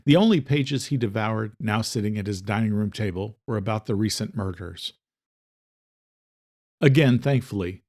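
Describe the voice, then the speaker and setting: A man narrating a scripted horror thriller audiobook in a measured, dramatic storytelling manner. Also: fairly low pitch, a little mellow.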